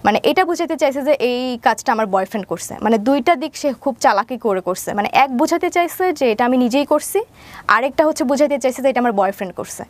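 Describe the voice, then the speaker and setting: High-voiced young Bangladeshi woman. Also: animated, fast talker, no room sound. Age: young adult. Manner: mixes English words into her speech. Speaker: interview guest. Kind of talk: personal account in an interview.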